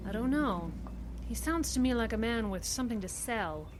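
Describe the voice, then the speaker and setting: An actress playing a determined, quick-witted woman lawyer in an old-time radio detective play, assured, articulate and wry. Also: on the high side, animated, slight background noise, close to an everyday voice. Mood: thoughtful, resolute. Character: determined, idealistic woman defense attorney, affectionate and quick-witted.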